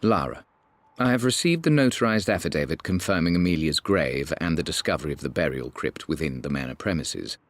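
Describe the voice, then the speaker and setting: An older British uncle, aristocratic and stiff, in a game's letter voice-over. His voice is extremely deep, formal and measured, recorded close and dry. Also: lively intonation, no echo. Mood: stiff, resigned. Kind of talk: letter voice-over in a game cutscene.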